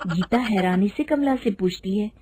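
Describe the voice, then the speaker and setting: A woman narrating an animated storybook in a plain, even storytelling manner. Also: mid-range voice, moderate pace.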